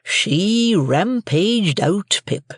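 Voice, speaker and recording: A gentle, plain-spoken blacksmith drawls his words out slowly and mildly, as voiced by a male audiobook reader. The voice sits slightly raised and close, in a dry sound. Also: sits high, animated, breathy edge, dry recording, voice pushed up, full character voice. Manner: slow, mild and plain-spoken.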